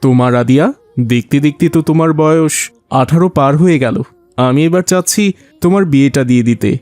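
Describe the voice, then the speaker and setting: A man voicing a gentle, reasonable middle-aged father in an Islamic cartoon, explaining things patiently and affectionately. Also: a little high, a little fast, no room sound, close to an everyday voice.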